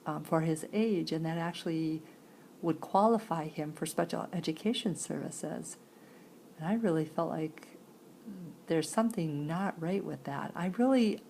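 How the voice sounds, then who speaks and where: A woman telling a personal story conversationally, in halting phrases, with a creaky voice. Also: low voice, a little mellow, a little hiss or hum. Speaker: sign-to-voice interpreter.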